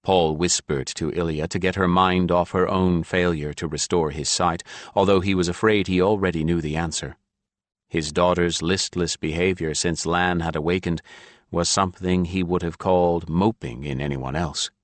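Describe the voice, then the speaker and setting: A man's voice: low-pitched, fairly even intonation, moderate pace, no echo. A man reading a fantasy novel as a scripted audiobook narration.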